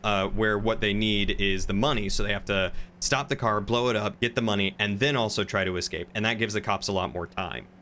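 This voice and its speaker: A man with a slightly low, bright and forceful voice explains things casually during a game. His wording is measured, and there is faint noise behind him on the voice chat.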